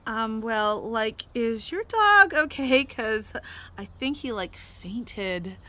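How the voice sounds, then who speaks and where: A woman's voice: a little high, lively intonation, slow, noisy background. A woman playing a laid-back hippie mom in an audio drama, hesitant and full of slangy filler. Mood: hesitant concern. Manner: hesitant, peppered with "like" and "man". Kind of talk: audio theatre acting.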